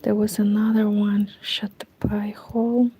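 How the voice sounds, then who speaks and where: A woman's voice: mid-range voice, little pitch movement, normal speed, warm tone, slight background noise, low-quality recording. A woman with a Romanian accent chatting casually to viewers while she knits, rambling and often dropping into a whisper. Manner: rambling, talks to herself, drifts into whispers. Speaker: knitting streamer. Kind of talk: casual chat while knitting. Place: home room with curtains.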